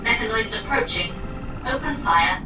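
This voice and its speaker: A woman's voice: fairly high pitch, lively intonation, normal speed, reverberant, distant mic, over loud music, natural voice, low-quality recording. A woman playing a cold, logical android soldier in an audio drama, issuing threats in stilted, formal phrasing. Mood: cold, threatening.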